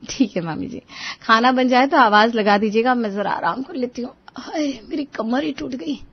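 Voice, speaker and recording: A woman's voice: on the high side, normal speed, breathy edge, highs cut off, pitched above the performer's own voice. A woman playing a tired young wife and mother in a cartoon story, conversational and mildly complaining. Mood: exhausted. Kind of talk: Hindi moral-story cartoon dubbing.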